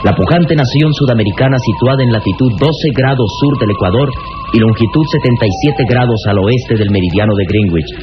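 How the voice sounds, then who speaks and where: A man's voice: mid-range voice, fairly quick. A man narrating a 1960s radio serial in a solemn, florid, declamatory way. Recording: dull 1960s radio recording, loud music behind.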